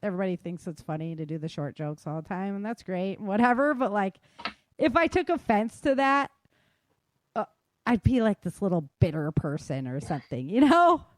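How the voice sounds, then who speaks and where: A woman's voice: medium pitch, animated, normal speed, no echo. A woman hosting a podcast in casual chat, talking in an informal, slangy and self-deprecating way. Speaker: podcast host.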